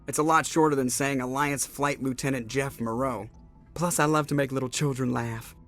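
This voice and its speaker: A man acts out a young starship pilot from a sci-fi game, brash and wisecracking. His voice has a gravelly fry to it. He sounds sarcastic and quick to defend himself, over faint music. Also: medium pitch, steady tone, fairly bright tone, lightly acted. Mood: dry, sardonic. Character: cocky, wisecracking male starship pilot with brittle bones. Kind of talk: video game voice acting.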